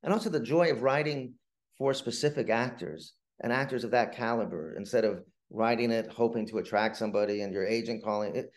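A man talking casually as an interview guest, telling anecdotes about his writing work in a halting way with stammered restarts. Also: mid-range voice. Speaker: guest, playwright and TV writer.

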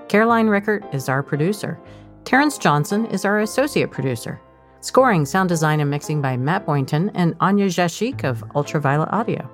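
A woman host reading the show's closing credits. Her pitch is rather low, but her intonation moves a lot, and there is faint music behind her. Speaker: podcast host. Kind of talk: scripted outro and credits.

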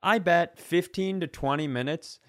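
A man hosting a comedy podcast, telling a story in a casual, comic way with an animated, stop-and-start delivery. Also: on the high side, moderate pace, dry recording, studio quality.

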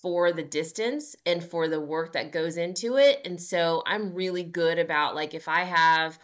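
A woman's voice: lowish voice, unhurried. A woman in her forties, a guest on a podcast, talking earnestly and upbeat about her running, with frequent fillers. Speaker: guest, amateur marathon runner. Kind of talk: casual podcast interview.

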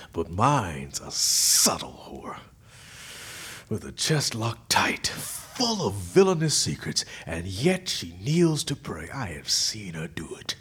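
A man's voice: deep voice, husky, full character voice. An actor playing a jealous, tormented nobleman in a theatrical Shakespeare audio drama, brooding and volatile. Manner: brooding, swinging from hushed mutters to outbursts. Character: jealous, tormented husband and nobleman, raging and grieving.

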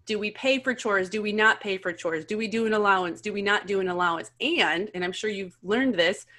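A woman co-hosting a podcast who speaks at an average pitch. She asks rambling, thoughtful questions in an easy, conversational way. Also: a little fast, bright, forceful tone. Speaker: podcast co-host. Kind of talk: podcast interview.

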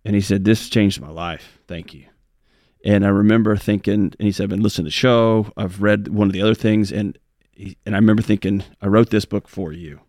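An earnest man co-hosting a talk show, rambling a little and restarting his sentences as he talks. He speaks quickly in a somewhat low voice. The sound is close and dry. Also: fairly even intonation, darkish tone, dry recording. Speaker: co-host. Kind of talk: casual talk show conversation.

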